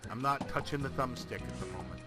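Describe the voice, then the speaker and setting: A man's voice: mid-range voice, animated, brisk. A man giving casual, chatty let's play commentary on a video game, grumbling playfully. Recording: loud game music behind.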